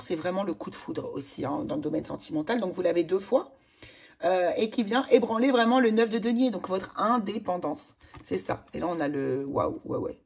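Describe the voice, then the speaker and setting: A woman with a hoarse voice, chatting enthusiastically through a tarot card reading for viewers. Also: mid-range voice, a little mellow. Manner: chatty, enthusiastic, many fillers and exclamations. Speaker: tarot reader.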